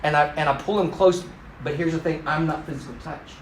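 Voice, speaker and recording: Man, 50s, raised in Thailand, church class teacher, joking and animated, raised pitch, echoey room. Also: brisk, slight background noise.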